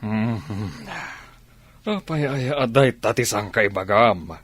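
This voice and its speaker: A man's voice: on the low side, takes it slowly, a bit bright, husky, dry recording, exaggerated voice. A man with an Ilocano accent playing an irritable, evasive philandering husband in a radio drama. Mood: irritated.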